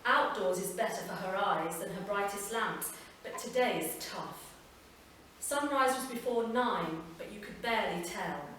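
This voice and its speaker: A woman with a British accent giving a slow, measured literary reading at a live festival event. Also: medium pitch, a bit bright, a little hiss or hum. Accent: British English. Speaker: reader. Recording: echoey live room from far off, faint ambience.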